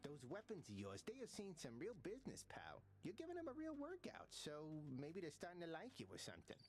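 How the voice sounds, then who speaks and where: A chatty skeleton pal from a video game. The voice leaps all over its range as it wisecracks in old-time tough-guy slang. It sounds chummy and cartoonishly animated. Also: high voice, a little fast, soft background music. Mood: chummy, conspiratorial. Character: chatty, chummy undead skeleton sparring dummy, male. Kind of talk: video game character acting.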